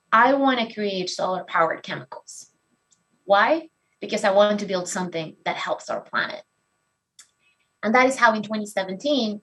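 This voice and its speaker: Woman telling her own story. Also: mid-range voice. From Venezuela. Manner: candid and expressive, self-deprecating. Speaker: speaker giving a talk. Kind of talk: personal story talk.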